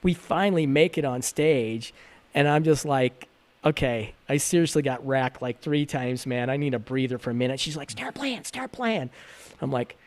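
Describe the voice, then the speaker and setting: Animated storytelling man, fairly high voice. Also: moderate pace. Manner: animated, acts out quotes in a raised voice. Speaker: podcast guest. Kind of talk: casual storytelling.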